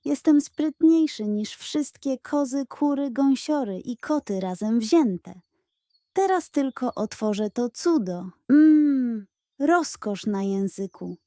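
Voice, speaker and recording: A woman's voice: sits high, expressive, slow pace, warm tone, no echo, clean studio sound. A woman voicing a greedy, cheeky male pug in a children's audio fairy tale, whiny and self-righteous. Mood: gloating.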